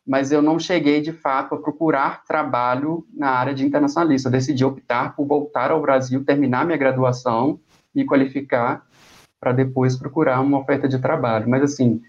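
Young Brazilian man, dull recording. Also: mid-range voice. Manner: relaxed, rambling with restarts. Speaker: exchange student guest. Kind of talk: conversational interview.

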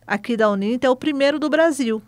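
Female professor, Recife accent, high register, dry close sound, measured explanatory delivery. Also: no room sound. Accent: Pernambuco Portuguese. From Recife, Brazil. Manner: measured, lecturing, frequent "né?" tags. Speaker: guest, university course coordinator. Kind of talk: explanatory interview answers.

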